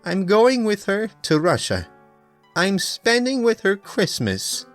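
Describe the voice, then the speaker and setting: A man's voice: high voice, wildly swooping pitch, takes it slowly, a bit bright, faint music behind, voice pushed up, exaggerated voice. A man voicing a sweet, earnest young woman from an anime fan story, polite and hesitant. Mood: shy, flustered.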